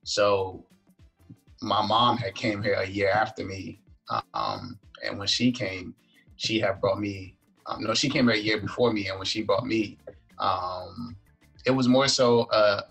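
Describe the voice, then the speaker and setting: A man with a low voice and plenty of creak speaks as a podcast guest. He answers questions haltingly, searching for his words. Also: a bit bright, soft background music, rough recording. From Liberia, moved to the United States at five. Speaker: podcast guest, events entrepreneur. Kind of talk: interview answers.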